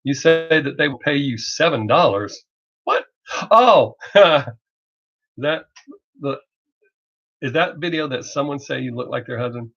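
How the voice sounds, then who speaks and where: Middle-aged male streamer, American Southern drawl, blunt off-the-cuff chat with some swearing, mid-pitched voice, slightly muffled lo-fi audio. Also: expressive, fairly bright tone. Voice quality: Southern drawl masked by fast talk. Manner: blunt, rambling, profane, joking. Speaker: reaction video creator hosting a live stream. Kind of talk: casual livestream chat, off the cuff. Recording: dull lo-fi livestream audio.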